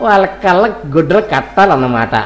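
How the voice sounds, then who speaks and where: A man's voice: fairly high pitch, animated, brisk, lightly acted. A man playing a boastful village master in a comic cartoon story, pompous and bossy yet fond, speaking in a Telugu village dialect. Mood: proud explaining. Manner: scolding, pompous, colourful comic threats.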